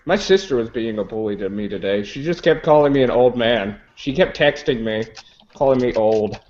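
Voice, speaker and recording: A man's voice: medium pitch, moderate pace, darkish tone, highs cut off. A man in his early twenties hosting a group video call, teasing and reading out viewer questions. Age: 20s. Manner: rambling, teasing, reads out questions. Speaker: hangout host. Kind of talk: casual group video chat.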